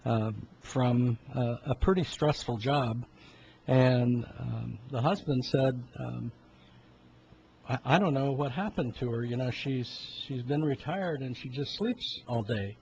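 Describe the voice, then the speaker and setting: Man in his 70s, mid-pitched voice, halting rambling health talk, frequent throat clearing, muffled lo-fi audio. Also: a little mellow. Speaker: health talk host, medical practitioner. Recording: dull lo-fi sound with background noise.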